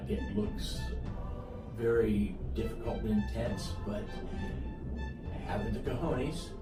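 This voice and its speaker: A man's voice: mid-range voice, fairly even intonation, slow pace. A man in his sixties, a martial arts student, giving a rambling, earnest interview answer with lots of "uh". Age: 60s. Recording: roomy, distant mic, music underneath.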